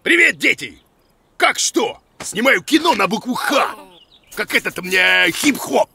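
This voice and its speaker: A man voicing a blustery, bossy cartoon creature who teaches children, with a creaky voice and hammy exclamations. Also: sits high, big pitch swings, a little slow, bright, forceful tone, exaggerated voice. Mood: bossy, excited. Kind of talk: animated children's show acting.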